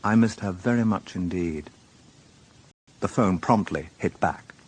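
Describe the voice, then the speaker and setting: A man's voice: low voice, a little slow, darkish tone, breathy edge. An actor playing a weary, dry-witted male police inspector in a radio drama, wry and understated. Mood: wry narration. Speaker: narrator and lead actor. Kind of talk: radio drama acting and first-person narration.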